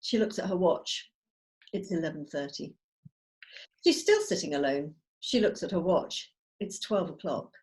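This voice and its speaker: A woman's voice: low-pitched, lively intonation, unhurried, darkish tone. A woman giving scripted narration, reading out stage directions on a video call.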